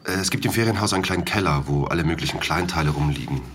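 A man's voice: deep voice, rapid-fire, a bit bright, slight background noise. An actor in his thirties playing a hesitant village man and father of two, talking with fillers and small slips. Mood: recalling. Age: 30s. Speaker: villager and witness. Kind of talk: audio drama acting.

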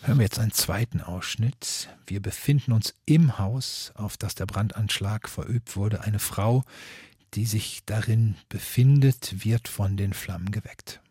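Male culture-radio moderator, very husky and soft-toned, measured delivery, dry close sound. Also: on the low side, dry recording. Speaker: host. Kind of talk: scripted radio interview moderation.

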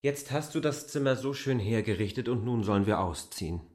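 A man's voice: fairly low pitch, animated, fairly quick, a little mellow, no echo, studio quality, close to an everyday voice. An actor playing a proud, reluctant land surveyor in a German literary radio drama, brooding as he argues. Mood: reluctant, uneasy.

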